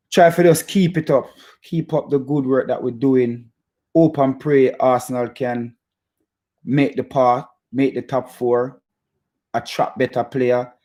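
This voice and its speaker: A man with a Jamaican accent hosts an informal football fan chat. His voice sits at a medium pitch. He talks in a halting, stop-start way, often repeating a phrase before moving on, as he addresses his viewers directly. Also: mellow. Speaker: football fan channel host. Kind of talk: casual chat to live viewers about football.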